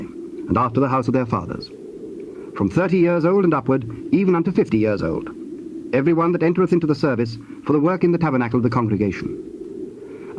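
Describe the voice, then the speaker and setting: Higher-pitched male scripture narrator, muffled tape. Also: a little mellow. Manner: formal, measured recitation. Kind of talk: scripted dramatized Bible narration. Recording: dull, noisy old cassette tape.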